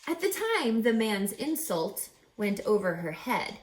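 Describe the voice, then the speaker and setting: A woman reading a rhyming children's picture book aloud as a teacher, with theatrical, deliberate, emphatic phrasing. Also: mid-range voice, big pitch swings. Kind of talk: expressive children's read-aloud of rhyming verse.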